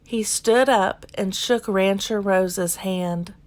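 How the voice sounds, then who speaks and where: A woman reading a children's storybook aloud in an expressive, deliberate storytelling manner. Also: medium pitch. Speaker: children's book reader. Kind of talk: expressive scripted storybook narration.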